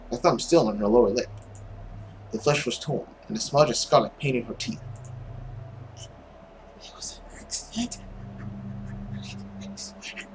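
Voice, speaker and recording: A man's voice: mid-range voice, slight background noise, low-quality recording. A man reading a visual novel aloud dramatically as a let's player, with commentary of his own.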